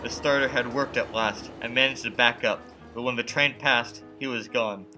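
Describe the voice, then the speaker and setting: A man's voice: mid-range voice, fairly even intonation, moderate pace, pushed and bright, faint music behind. A young man acting a frightened, haunted motorist in an old-time suspense radio play, earnest and dramatic in delivery. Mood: uneasy, brooding. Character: frightened, haunted young male motorist narrating his ordeal. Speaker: student actor.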